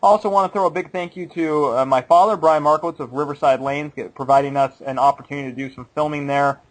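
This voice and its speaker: A man in his late twenties co-hosting a podcast with a fairly high voice. He chats casually, laughs easily and plays at being cynical. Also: steady tone, quick pace, rough recording. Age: late 20s. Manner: laughs often, playfully cynical. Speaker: podcast co-host. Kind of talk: casual chat.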